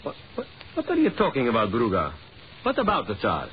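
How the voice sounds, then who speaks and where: An actor playing a talkative, cynical Russian government official in a 1940s radio horror drama, wry and exclamatory. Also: male voice, medium pitch, wildly swooping pitch, fairly quick. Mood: baffled, scornful. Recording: muffled old 1940s radio recording, hiss.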